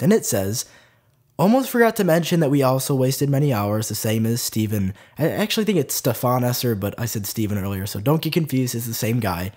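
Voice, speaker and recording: A young man with a stuffy nose from a cold, hosting a casual tech news vlog in a chatty way with plenty of fillers. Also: mid-range voice. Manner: chatty, lively, lots of "like" fillers. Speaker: tech video host.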